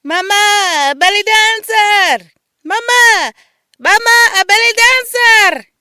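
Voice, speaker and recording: A woman voicing an excitable young boy who cries out loudly in drawn-out calls in an audio drama. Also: very high-pitched, no room sound, pitched above the performer's own voice, heavily acted. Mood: alarmed, shrill. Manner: loud, drawn-out crying out.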